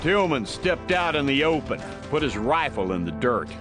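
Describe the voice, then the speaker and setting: A man's voice: mid-range voice, big pitch swings, moderate pace. A man giving scripted dramatic narration of a western audiobook. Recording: music behind.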